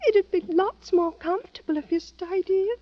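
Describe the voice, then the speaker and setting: An actress playing a lovesick, anxious young sweetheart, in 1940s radio drama style. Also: female voice, very high-pitched, moderate pace, no echo. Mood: pleading, lovesick. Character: lovesick young working-class girl, clinging, anxious sweetheart. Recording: muffled old radio broadcast.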